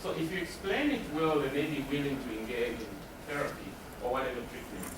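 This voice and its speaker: A man's voice: mid-range voice, expressive, brisk. A man giving a spoken presentation as a counselor, explaining in short, emphatic phrases and posing questions that he answers himself. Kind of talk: spoken presentation to a live audience. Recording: roomy, distant mic with noticeable background noise.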